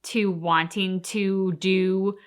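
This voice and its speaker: A woman's voice: mid-range voice, flat delivery, drawn-out words. A woman co-hosting a game reaction show, talking casually and reflectively in an earnest, explanatory way.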